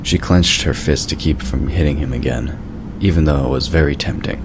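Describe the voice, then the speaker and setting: Male narrator, extremely deep voice, scripted storytelling, noisy background. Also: brisk.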